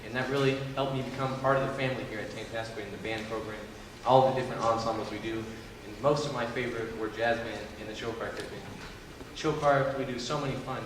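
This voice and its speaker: A man's voice: mid-range voice, noisy background. A teenage boy from Texas, a student drum major, giving an earnest school presentation with many fillers. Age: teens. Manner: earnest, filler-laden speech. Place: school auditorium. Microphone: handheld mic. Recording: echoey hall from far off.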